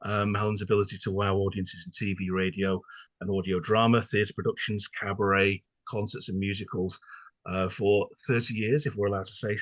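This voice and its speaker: A man's voice: fairly low pitch, little pitch movement, brisk, no echo. A man hosting a conversational podcast interview, speaking in a wordy, playfully formal and polite way.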